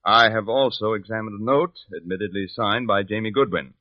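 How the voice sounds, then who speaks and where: A man plays a courtroom expert in a 1950s radio drama. He speaks formally and with assurance in a fairly low, dry voice, muffled by the old recording. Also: a little fast, no room sound, natural voice. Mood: formal, assured. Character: formal, confident handwriting expert witness.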